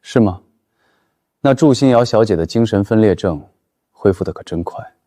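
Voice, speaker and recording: Domineering male boss, deep relaxed voice, sarcastic, controlled, deadpan, animated short drama. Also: normal speed. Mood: cool, pressing. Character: cool, domineering young male company boss.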